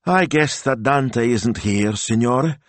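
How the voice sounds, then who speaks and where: A man's voice: mid-range voice, wildly swooping pitch, moderate pace, husky, dry recording, voice pushed up, heavily acted. A man voicing an anxious, wistful young woman who speaks eagerly. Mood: despondent.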